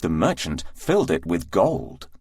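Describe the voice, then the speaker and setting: A man reads a children's fairy tale as a scripted narrator. His pitch swoops extremely widely, his voice is husky, and the recording is dry and close. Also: big pitch swings, measured pace, darkish tone, no room sound. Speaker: storybook narrator.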